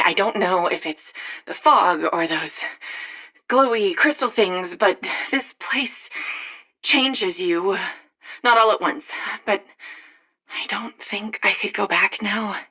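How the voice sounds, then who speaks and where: An actress playing an unhinged woman slowly turning into a monster, confessing in a jittery, halting, defensive way for a game audio log. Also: mid-range voice, expressive, bright, forceful tone, husky, exaggerated voice. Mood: confessional, unhinged.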